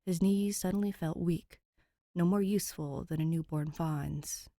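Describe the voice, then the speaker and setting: A woman narrating fiction as an audiobook, measured and dramatic, with pauses between phrases. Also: on the low side, mellow, no echo, studio quality. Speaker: podfic narrator.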